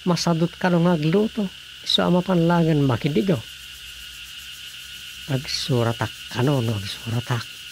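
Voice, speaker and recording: An actor with an Ilocano accent playing a folksy, comic small-town mayor and husband in a radio drama comedy. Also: male voice, fairly high pitch, steady tone, moderate pace, long pauses, close to an everyday voice. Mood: grumbling, comic. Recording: noisy background with cricket-like ambience.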